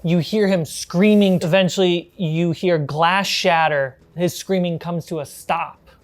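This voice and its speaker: Low-pitched, unhurried woman running the game. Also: steady tone. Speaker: game master. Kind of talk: narrating a tabletop horror game.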